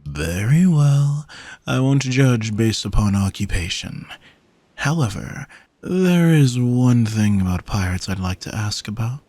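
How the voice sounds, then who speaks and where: A man playing an alluring sea monster posing as a vain, flirty gentleman in an audio roleplay, speaking softly and formally in a haughty, teasing way. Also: mid-range voice, expressive, a little slow, no room sound. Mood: smug, flirty. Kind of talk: scripted audio roleplay, spoken to the listener.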